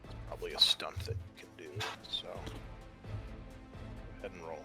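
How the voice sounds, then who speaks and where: A man's voice: rumbling low, little pitch movement, a little fast, loud music behind the voice. A man acting as game master, narrating and refereeing a tabletop roleplaying game.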